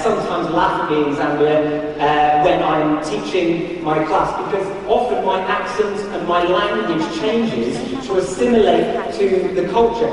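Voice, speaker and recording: A man with an English accent preaches in a fairly high voice, conversational but emphatic, often repeating his phrases. He is heard from a distance in a very echoey hall, with noise around him. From Liverpool, England. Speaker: preacher and Bible college teacher. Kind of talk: sermon to a congregation. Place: church stage in a large room.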